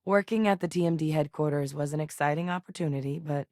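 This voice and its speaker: An actress voicing a composed female ex-soldier companion in a video game, speaking in a measured, earnest way. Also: low voice, darkish tone, no echo, clean studio sound, close to an everyday voice. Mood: steady. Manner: measured, earnest, matter-of-fact. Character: composed female ex-soldier scientist, duty-bound. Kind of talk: recorded game voice lines. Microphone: close studio microphone.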